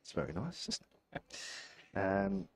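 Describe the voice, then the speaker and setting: A man's voice: low voice, sing-song, takes it slowly, slightly rough. A man with a southern English accent doing live cricket commentary, wry and chatty. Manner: chatty, wry, self-deprecating. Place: outdoor commentary position at a cricket ground.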